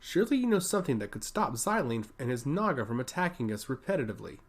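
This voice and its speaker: A man's voice: mid-range voice, sing-song, fast. A man voicing an earnest, respectful envoy pleading his case in a fantasy story.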